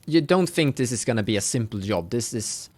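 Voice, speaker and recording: A man runs a tabletop roleplaying game as its game master. He improvises the story conversationally and haltingly, at a middling pitch. The sound is dry, with no room echo. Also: expressive, a little mellow.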